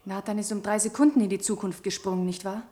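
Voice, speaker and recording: A woman's voice: mid-range voice, steady tone, fast, dark tone, natural voice. A young woman playing a scientist's probing, wary wife in a German radio drama, questioning and pointed. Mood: sceptical, probing. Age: young adult. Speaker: radio play actress.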